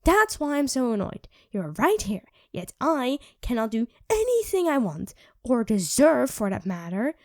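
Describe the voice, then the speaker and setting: A woman playing an irritable young vampire who craves blood, snappy and sarcastic in an ASMR roleplay. Her voice sits fairly high and swings widely in pitch, often dropping into soft whispers. The sound is dry and close, with no room around it. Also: sing-song, a little slow, dry recording. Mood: snappish.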